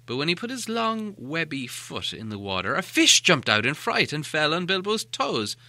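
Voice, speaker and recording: A man narrating a storybook as an audiobook reader, with an expressive, dramatic delivery. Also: on the high side, moderate pace, dry recording. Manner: expressive, animated.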